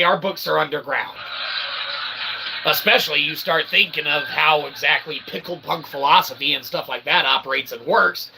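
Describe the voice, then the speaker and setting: A man's voice: fairly high pitch, creaky, background noise. A man delivering a rambling, opinionated monologue as an author and channel host, wordy and emphatic, with sweary pseudo-philosophical phrasing. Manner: verbose pseudo-philosophical phrasing, sweary, repeatedly checking "okay?"; emphatic, bursts into shouting.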